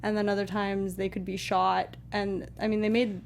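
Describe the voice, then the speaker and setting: A woman's voice: mid-range voice, level tone, moderate pace, vocal fry, a little hiss or hum. A woman co-hosting a film discussion show, thinking aloud in informal, casual chat. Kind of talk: casual panel chat about movies.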